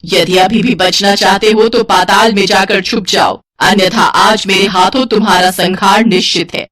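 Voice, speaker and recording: A woman's voice: medium pitch, fairly even intonation, moderate pace, fairly bright tone, distant mic, close to an everyday voice. A woman voicing a fierce, righteous warrior goddess in a mythological cartoon story, commanding and solemn. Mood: threatening. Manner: commanding, solemn, theatrical proclamations.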